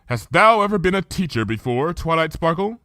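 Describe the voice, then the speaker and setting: A man's voice: fairly high pitch, big pitch swings, brisk, bright, forceful tone, no echo, studio quality, pitched above the performer's own voice. A man voicing a regal, archaic-speaking female moon princess pony in a fan fiction reading, with formal thee-and-thou speech. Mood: solemn.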